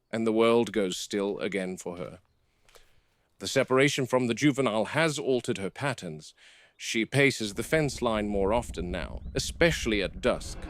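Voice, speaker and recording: Male actor as middle-aged game warden, solemn, measured, reflective, scripted log monologue, close dry recording. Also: mid-range voice, dry recording, natural voice. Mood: grave, reflective. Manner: measured, solemn, precise phrasing.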